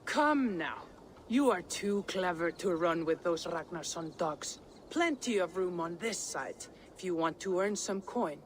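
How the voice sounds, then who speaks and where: A woman's voice: lowish voice, expressive, measured pace, a little hiss or hum. An actress playing a cocky, flirtatious and threatening mercenary boss woman in a video game, taunting and haughty. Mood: sly, haggling. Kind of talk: dramatic video game acting.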